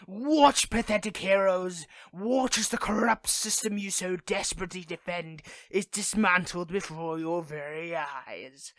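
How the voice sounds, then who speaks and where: A performer voicing a theatrical supervillain who is tender with a partner, in an intimate audio roleplay that moves between softness and grandiose menace. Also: mid-range voice, a little slow, hardly any pauses, no room sound, natural voice. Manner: switches between soft affection and grandiose menace.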